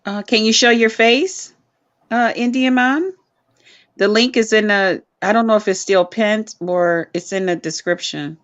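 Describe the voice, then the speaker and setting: A woman's voice: medium pitch, unhurried, lo-fi sound. A woman in her fifties co-hosting a live stream, chatting in a playful, colloquial way. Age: 50s. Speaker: co-host. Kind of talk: casual chat on a live stream.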